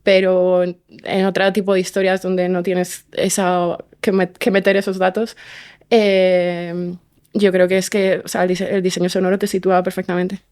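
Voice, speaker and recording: Spanish woman from spain chatting in an interview, even pitch, kinda halting, lots of little tag questions. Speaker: guest, audio fiction writer and director. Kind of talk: casual interview chat.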